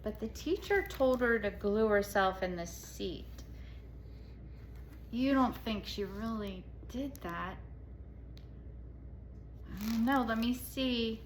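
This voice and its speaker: A woman's voice: a little high, slow, pauses often, a little hiss or hum. A woman reading a storybook aloud to children, expressively, with explanatory asides and questions to the listeners.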